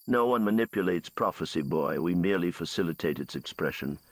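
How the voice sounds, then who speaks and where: A man's voice: sits low, expressive, brisk, dry recording. A man voicing an imperious, scheming woman who leads a cult, with a cold, commanding, theatrical manner in a fantasy bedtime story. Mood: triumphant.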